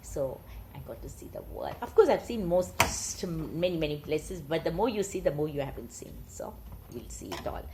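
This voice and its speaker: A woman's voice: sits low, very animated, normal speed, very noisy. A woman rambling casually and reading stories aloud, emphatic and often repeating words for stress. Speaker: online storyteller and talker.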